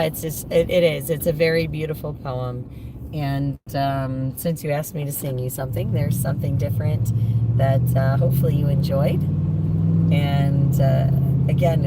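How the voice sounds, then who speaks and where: A woman with a raspy voice chatting casually with livestream viewers while driving, rambling with many fillers and restarts. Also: a bass voice. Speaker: livestreaming driver. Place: vehicle cab while driving on the road. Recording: distant mic, loud road noise.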